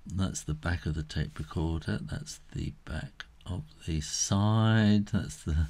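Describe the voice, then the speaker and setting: A middle-aged man giving a casual step-by-step drawing tutorial, unhurried and thinking aloud as he works. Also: low-pitched, a little mellow.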